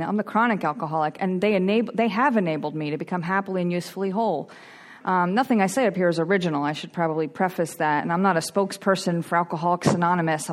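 A woman speaking candidly at a recovery meeting into a microphone, rambling a little and using fillers. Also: lowish voice. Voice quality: loud voice. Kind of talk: personal share at a meeting. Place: meeting room. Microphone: microphone at a lectern.

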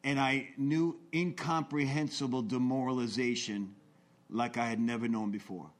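A man in his forties giving an earnest, confessional talk about his recovery, swinging between intense bursts and hushed asides. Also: medium pitch, steady tone, moderate pace. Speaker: recovery speaker. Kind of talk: personal testimony talk.

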